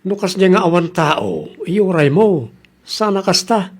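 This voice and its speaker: A man's voice: high-pitched, lively intonation, a little slow. A plain-spoken Ilocano father in a radio drama. He questions and grumbles like a concerned head of the household, ready to scold. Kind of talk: Filipino radio comedy drama acting.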